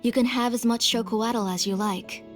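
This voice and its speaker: A woman playing a gentle, middle-aged mother weighed down by guilt, speaking earnestly in a video game. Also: fairly high pitch, steady tone, moderate pace, natural voice. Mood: regretful. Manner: gentle, earnest, sorrowful, sighing. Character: gentle guilt-ridden mother, a former master smith. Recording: music behind.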